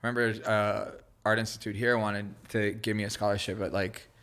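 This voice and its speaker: A man's voice: fairly low pitch, level tone, brisk, fairly bright tone. A man whose first language is Hebrew, telling stories casually and in a rambling, slangy way as a podcast guest. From born in Israel, grew up in California. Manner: heavy slangy fillers, restarts mid-thought. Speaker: podcast guest, creative director. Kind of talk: casual, rambling conversational storytelling.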